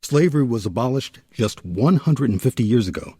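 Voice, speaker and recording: A man hosting and narrating a scripted history documentary in a measured, formal way. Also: medium pitch, animated, dark tone, husky, no echo, clean studio sound. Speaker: host and narrator.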